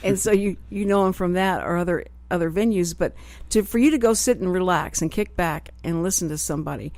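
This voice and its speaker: A woman hosting a live local radio talk show, chatting in an animated way and often cutting in. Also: lowish voice, a little fast, dry recording. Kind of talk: casual live radio chat. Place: radio studio.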